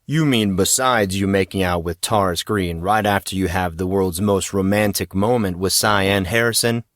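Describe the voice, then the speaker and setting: A man's voice: low voice, normal speed, a bit bright, dry recording, clean studio sound. A man voicing a sulky, sardonic teenage schoolboy who grumbles bitterly in an audio story.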